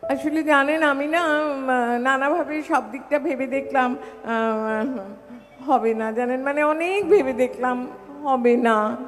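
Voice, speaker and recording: A high-voiced, bossy prospective bride. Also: female voice, fairly even intonation. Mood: cool, teasing. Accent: Bengali. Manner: demanding, brisk questioning, sprinkles in English words. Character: bossy, fussy, teasing prospective bride inspecting a suitor's home. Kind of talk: Bengali audio play acting. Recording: long hall reverb with music behind.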